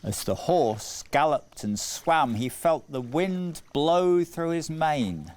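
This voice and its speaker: A man narrating a scripted children's story in a measured, deliberate way with dramatic phrasing. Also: on the high side, lively intonation, a little mellow. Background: music.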